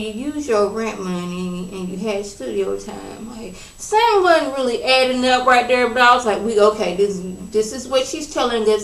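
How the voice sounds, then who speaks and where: A woman casually recapping a reality TV episode in a chatty, animated way, acting out what people said. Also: fairly high pitch. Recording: roomy, distant from the mic.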